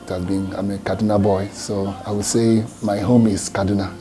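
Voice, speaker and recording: Nigerian gospel singer guy, kinda low voice, lots of uh and restarts, faint music behind. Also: little pitch movement, normal speed. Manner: hesitant, many fillers and restarts. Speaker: gospel singer being interviewed. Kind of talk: reflective interview answers.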